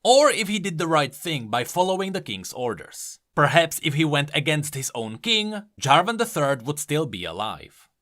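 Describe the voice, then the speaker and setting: Bright, animated male lore explainer. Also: a little high, normal speed, few pauses. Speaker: video creator explaining game lore. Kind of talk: enthusiastic explanatory video commentary.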